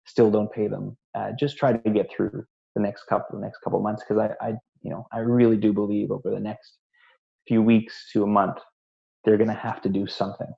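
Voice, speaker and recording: Gravelly man on a dull lo-fi call. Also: on the low side, little pitch movement, a little fast, dark tone. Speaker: expert panelist giving advice. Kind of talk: unscripted advice on a conference call. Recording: dull lo-fi conference call audio.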